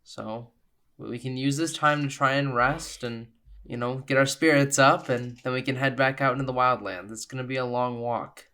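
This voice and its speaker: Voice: medium pitch, fairly even intonation, normal speed, bright, forceful tone. A player voicing an easygoing, encouraging adventurer in an improvised tabletop game, casual, chatty and reassuring. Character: easygoing, encouraging carnival-going adventurer Dakota.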